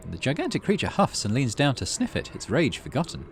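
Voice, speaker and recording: A man's voice: medium pitch, huge pitch swings, brisk, slight background noise. A man hosting a gaming video, mixing casual commentary with reading the game's narration aloud. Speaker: gaming channel host.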